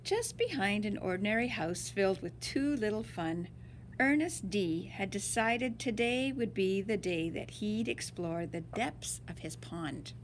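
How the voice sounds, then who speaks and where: Woman, storybook read-aloud for kids: animated, dramatic word emphasis, slow pacing, faint noise behind. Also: mid-range voice, poor audio. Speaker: teacher reading to children.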